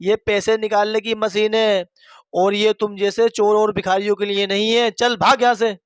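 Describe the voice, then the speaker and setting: A man performs an abusive, quick-to-anger villager in a cartoon moral tale. He is loud and melodramatic, with a high, clear voice that scolds. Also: level tone, dry recording, natural voice. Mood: angry, scolding.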